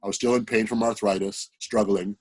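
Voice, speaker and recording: A man's voice: lowish voice, fairly even intonation, fairly quick, husky, rough recording. A man on a video call earnestly telling a personal spiritual story in a plain, direct way. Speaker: participant sharing a story.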